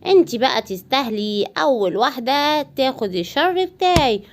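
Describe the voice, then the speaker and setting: A woman's voice: high voice, animated, moderate pace, slight background noise. An Egyptian woman voicing a young male lion cub turned mean bully who threatens and brags in a cartoon. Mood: menacing. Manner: boastful, threatening.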